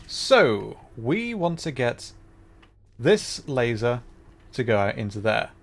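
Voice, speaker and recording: A man's voice: mid-range voice, very animated, takes it slowly. A man doing casual live gameplay commentary, thinking aloud and playfully repeating words as he goes. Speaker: VTuber game streamer.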